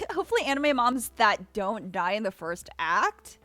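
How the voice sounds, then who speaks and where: A woman's voice: fairly high pitch, sing-song, unhurried, sharp tone, dry recording, faint music behind. A woman hosting a casual chat with guests, reacting with gushing exclamations and laughter. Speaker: host. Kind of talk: casual video-call chat.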